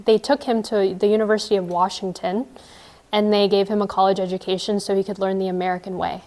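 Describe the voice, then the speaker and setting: A woman's voice: mid-range voice, level tone, fast, a little mellow. A young woman presenting a workshop, explaining history and asking the audience questions in a friendly, engaged way. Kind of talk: workshop talk with audience discussion.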